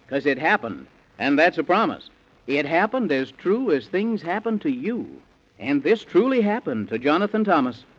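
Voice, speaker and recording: A man narrating a rhyming children's bedtime story in theatrical, sing-song 1930s radio style, on an old recording. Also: a little high. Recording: dull, band-limited 1930s radio recording.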